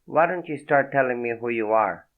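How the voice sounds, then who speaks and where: A man's voice: medium pitch, lively intonation, moderate pace, pitched above the performer's own voice, natural voice. A man playing a weary, tough-talking mobster who speaks bluntly and impatiently. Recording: tinny phone-like sound.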